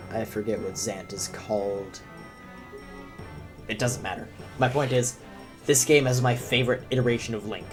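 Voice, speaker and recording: A young man who is sick, talking in a rambling, self-deprecating way with many fillers as he comments on a video game. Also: fairly low pitch. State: sick, deep voice. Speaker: gaming commentator. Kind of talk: let's play commentary.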